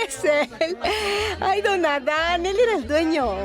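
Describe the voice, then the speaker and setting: Radio drama role: chatty folksy waitress and would-be singer, very high, animated delivery, music underneath. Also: female voice, slow, heavily acted. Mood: amused, chatty. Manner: colloquial, folksy, giggly, rambling. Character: chatty, giggly barroom waitress and aspiring singer. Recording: music bed behind the voice.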